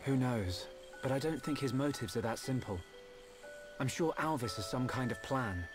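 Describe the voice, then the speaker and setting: A man's voice: medium pitch, expressive, normal speed, music in the background, natural voice. A man with a British accent voicing an earnest, resolute young hero in a video game. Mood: thoughtful, gentle. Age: young adult.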